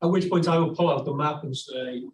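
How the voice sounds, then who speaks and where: Man, 60s, fairly high voice, halting speech, roomy echo, off-mic. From England. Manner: halting, many restarts and fillers. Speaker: audience member asking a question. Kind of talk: academic discussion. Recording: echoey room from far off, faint noise.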